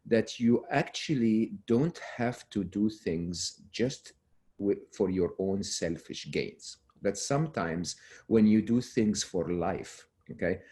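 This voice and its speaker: Man, very deep pitch, earnest, restarts often, remote call. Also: a little mellow. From Egypt. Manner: earnest, frequent restarts, drops voice to close points. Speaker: guest. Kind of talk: relaxed interview talk.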